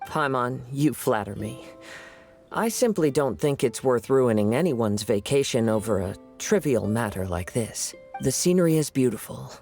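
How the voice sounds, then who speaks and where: Man voicing a gentle, mellow young swordsman. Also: medium pitch, animated, moderate pace, soft background music, lightly acted. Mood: calm, gracious. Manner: polite, composed, soft-spoken. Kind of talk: video game voice acting.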